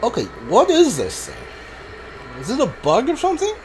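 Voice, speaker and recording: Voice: high-pitched, huge pitch swings, moderate pace, darkish tone, slight background noise, rough recording. A video game streamer giving casual commentary on a blind playthrough. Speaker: gameplay streamer. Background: game music behind the voice.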